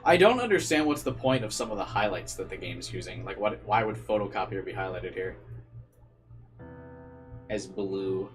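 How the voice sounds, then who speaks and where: A man's voice: lowish voice, lively intonation, moderate pace, faint music behind. A man doing casual livestream commentary and reading a visual novel aloud, rambling and incredulous in manner. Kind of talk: casual livestream commentary mixed with read-aloud game narration.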